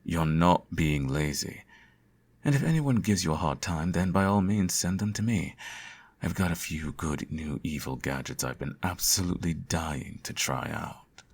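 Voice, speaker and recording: A man playing a teasing, doting supervillain in an intimate ASMR roleplay, suave and coaxing, with a gravelly, husky voice. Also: deep voice, moderate pace. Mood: exasperated, caring. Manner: suave, theatrical, coaxing, uses pet names. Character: teasing, doting male supervillain nursing his hero nemesis.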